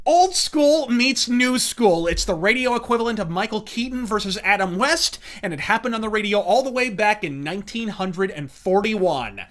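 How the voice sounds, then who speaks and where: A man's voice: high-pitched, lively intonation, moderate pace, few pauses, a bit bright, slight background noise. A man delivering scripted, profane commentary in a verbose, caustic and emphatic manner. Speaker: video essayist and reviewer.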